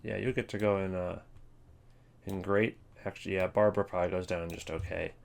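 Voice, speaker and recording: A man's voice: deep voice, fairly even intonation, brisk, creaky. A man giving offhand, opinionated casual commentary as a video creator ranking things.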